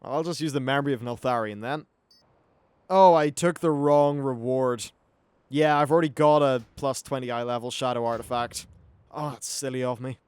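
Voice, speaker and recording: A man's voice: mid-range voice, steady tone, moderate pace, smooth, no echo. A man hosting a gaming video with casual commentary and wry, sweary asides.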